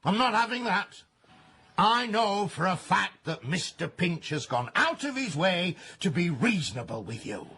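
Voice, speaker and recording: An actor playing a stern, pompous workhouse master, formal and exasperated, in a radio drama. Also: male voice, high voice, sing-song, a little slow, pushed and bright, slightly rough, heavily acted. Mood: indignant.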